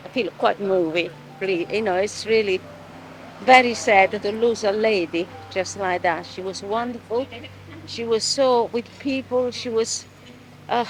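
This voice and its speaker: A woman's voice: mid-range voice, slight background noise, poor audio. A woman in a street interview, speaking emotionally and repeating her words. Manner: emotional, repetitive. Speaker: member of the public.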